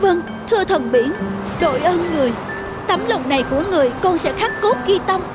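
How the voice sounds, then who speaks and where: A woman playing a devoted, anxious young fisherman's wife in an animated folktale, pleading and respectful, with emotion. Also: very high voice, fairly quick, over loud music. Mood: grateful.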